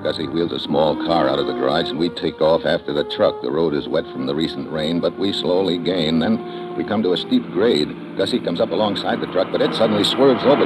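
A man's voice: low-pitched, lightly acted. An American actor playing a tough, wry male investigator in a 1950s radio adventure, with clipped delivery. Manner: clipped, hard-boiled delivery. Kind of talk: 1950s American radio adventure acting and first-person narration. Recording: noisy old radio recording.

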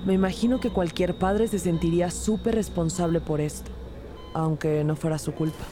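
A composed female detective played by an actress tells her case in an audio drama. She is empathetic yet serious, and music runs clearly underneath her voice. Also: lowish voice, fairly even intonation, moderate pace, close to an everyday voice. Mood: composed narrating. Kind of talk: Spanish-language audio drama, narration and dialogue. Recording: loud music bed under the voice.

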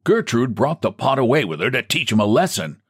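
A man's voice: medium pitch, big pitch swings, brisk, slightly rough, pitched above the performer's own voice. A man voicing a frail elderly man who talks in a thin, piping, quavering way. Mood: indignant.

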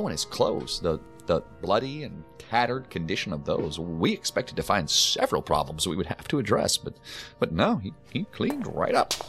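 An actor playing a flustered, talkative hospital doctor, hesitant and stammering, eager to please, in an audio drama. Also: male voice, lowish voice, fairly bright tone, exaggerated voice.

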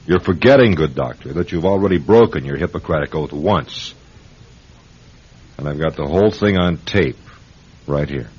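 An actor playing a rude, scheming and obsessive famous writer in a classic radio drama, brusque and domineering. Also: male voice, rumbling low, animated, moderate pace, pauses often, a little mellow, a little hiss or hum. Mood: menacing. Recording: muffled old radio broadcast.

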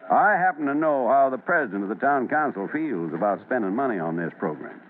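A man's voice: medium pitch, sing-song, fairly quick, slight background noise, close to an everyday voice. Man playing an indignant newspaper editor on tinny old radio. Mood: determined. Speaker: actor. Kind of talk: old-time radio serial acting.

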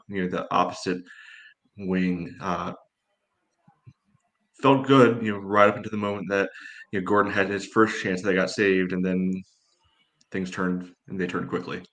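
A man co-hosting a casual sports analysis chat in a halting way, leaning heavily on "you know". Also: sits low, a bit bright. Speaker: podcast co-host and game analyst.

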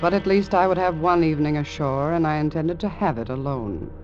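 An actor playing the wry narrator of an old-time radio suspense drama. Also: male voice, fairly high pitch, brisk, close to an everyday voice. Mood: wry, pitying. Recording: muffled old radio recording with music.

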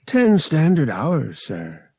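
Man voicing a formal alien priest, raised pitch. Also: huge pitch swings, darkish tone, breathy edge, dry recording, clean studio sound, full character voice. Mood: formal, proud. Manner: formal, measured, explanatory. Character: alien priest, formal male guide to pilgrims.

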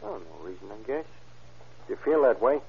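Husky, wry American adventurer. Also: male voice, lowish voice, very animated, moderate pace, exaggerated voice. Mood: skeptical. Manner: laconic, slangy, needling. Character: wry, skeptical American soldier-of-fortune, the crook's old pal. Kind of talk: old-time radio crime drama acting. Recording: muffled, tinny old radio recording.

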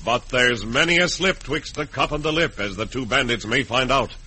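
A man's voice: medium pitch, moderate pace, over loud music, natural voice. A man with an American accent narrating a western serial as announcer, in dramatic vintage radio style.

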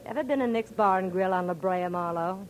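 A woman's voice: medium pitch, brisk, a little hiss or hum, lightly acted, poor audio. An actress playing a feisty, blunt young woman with slangy wisecracks in 1940s radio drama. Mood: wry, candid. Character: brash, tough-talking young blonde bar owner, feisty.

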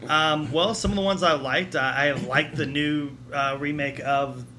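A man's voice: a little high, fairly even intonation, unhurried. A man chatting casually as a panelist on a podcast. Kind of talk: casual chat. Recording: noticeable background noise.

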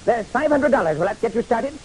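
A man's voice: very high-pitched, animated, brisk, darkish tone, rough recording. An actor playing a small, nervous, pushy man greedy for an inheritance, clipped and impatient, in an old-time radio detective drama. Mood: brusque, urgent. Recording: hissy old radio recording.

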